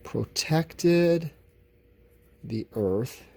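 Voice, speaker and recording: A male teacher with a soft, mellow tone and a lively, swooping melody as he talks to students. He explains things conversationally and offers his own opinions candidly, in a somewhat husky voice. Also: a little high, very slow. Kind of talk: informal recorded lesson, talking to students.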